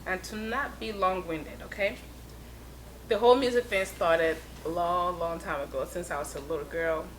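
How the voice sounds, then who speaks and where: A woman's voice: on the low side, normal speed, a little hiss or hum, rough recording. Chatty woman telling her story. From Europe. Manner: chatty, laughs often, gives shout-outs. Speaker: interview guest, singer. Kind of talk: casual storytelling interview.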